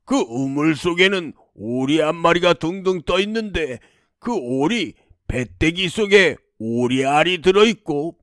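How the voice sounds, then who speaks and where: Storybook villain: domineering man-eating demon king, lordly and commanding, unhurried, close dry sound male narrator acting it out. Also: on the high side, animated, fairly bright tone, no echo. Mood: grudging, boastful. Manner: commanding, lordly old-fashioned speech.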